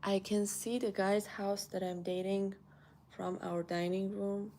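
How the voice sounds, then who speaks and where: Persian-accented young woman, chatty and gravelly. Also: medium pitch, little pitch movement, measured pace, dark tone, a little hiss or hum. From Iran. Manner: chatty, thinks aloud while eating. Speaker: vlogger. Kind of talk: casual chat to viewers. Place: home kitchen, indoors.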